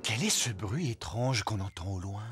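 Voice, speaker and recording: A man's voice: lowish voice, expressive, moderate pace, over loud music. A man narrating a French cartoon dub in a storytelling manner.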